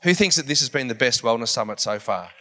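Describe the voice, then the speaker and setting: Bright-voiced man hosting an event. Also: mid-range voice, animated, quick pace, a little hiss or hum. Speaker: event host. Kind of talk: introducing a speaker on stage.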